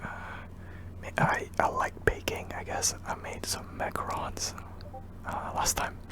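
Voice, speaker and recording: A young man from Indonesia, whispering hesitantly with many ums while answering viewer questions in an ASMR video. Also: a little slow. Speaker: ASMR channel host.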